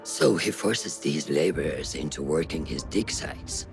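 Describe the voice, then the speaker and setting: A man voicing a stern older assassin mentor in a video game, measured and commanding. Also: extremely low pitch, animated, gravelly, full character voice. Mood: measured, grave. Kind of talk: video game dialogue acting. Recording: music under the dialogue.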